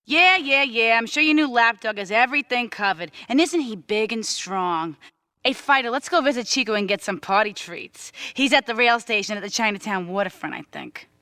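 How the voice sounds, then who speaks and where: A woman's voice: a little high, animated, moderate pace, sharp tone. A woman playing a sarcastic young mob wife who teases and mocks in a game cutscene. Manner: sarcastic, teasing. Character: young female mob wife, sassy and mocking.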